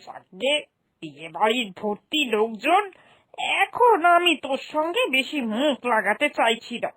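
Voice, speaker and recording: A woman playing an exasperated, sharp-tongued older mother and mother-in-law in a cartoon moral story, scolding with reproachful questions. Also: high-pitched, very animated, measured pace, full character voice. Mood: irritated.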